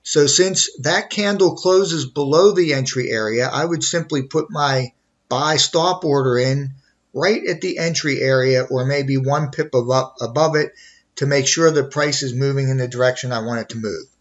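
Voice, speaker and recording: A man's voice: mid-range voice, normal speed, lo-fi sound. A man teaching in an informal screen tutorial, explaining things methodically step by step. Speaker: trading instructor.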